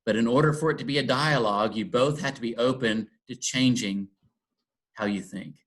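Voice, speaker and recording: A middle-aged man from Texas speaks in a thoughtful, unhurried way. He pauses with ums and circles back on his phrases as he works through an idea. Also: mid-range voice. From Texas, USA. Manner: thoughtful, many ums and restarts. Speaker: interfaith dialogue guest. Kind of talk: reflective conversation.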